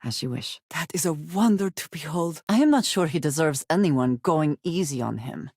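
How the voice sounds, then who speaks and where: An actress playing a guarded, solemn woman companion in a space adventure video game, speaking in formal, measured phrases. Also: deep voice, lively intonation, darkish tone, breathy edge, no room sound, clean studio sound, heavily acted. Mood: dry, reserved. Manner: formal, measured speech without contractions. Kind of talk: scripted video game voice acting.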